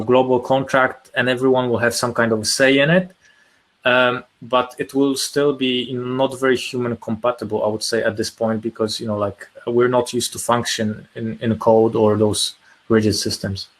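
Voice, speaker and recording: A man's voice: medium pitch, flat delivery, moderate pace. A man answering on a panel, thoughtful and hedging with frequent fillers. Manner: thoughtful, hedging with "you know".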